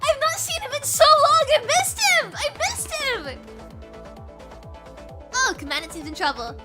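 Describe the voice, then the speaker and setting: A woman streamer with a high, bouncy voice reads story dialogue aloud, rough and hoarse from a cold. She gets excited, stumbles over words, and gushes as she goes. Game music plays clearly underneath her. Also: measured pace.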